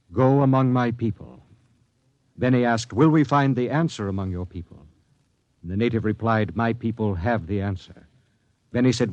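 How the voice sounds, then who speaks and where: An actor playing a stern, authoritative space captain who speaks with measured dignity in a 1950s radio drama. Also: male voice, fairly low pitch, a little mellow, no echo, highs cut off, natural voice. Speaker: captain and narrator. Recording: dry, band-limited 1950s radio recording.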